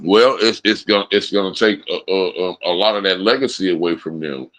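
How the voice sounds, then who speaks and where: A man's voice: sits low, fairly quick, fairly bright tone, no echo. A man in casual livestream chat, blunt and profane, repeating his lines for emphasis. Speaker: livestream co-host.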